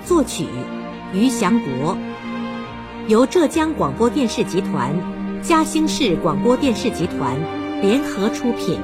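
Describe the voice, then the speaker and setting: A woman's voice: mid-range voice, very animated, measured pace. A woman narrating and announcing a radio drama in a formal, solemn, declamatory style. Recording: music under the narration.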